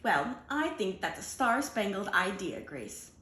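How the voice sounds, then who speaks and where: Female storybook schoolteacher, put on by a woman reader: encouraging, orderly, explanatory, very varied pitch, roomy echo. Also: big pitch swings, unhurried, fairly bright tone.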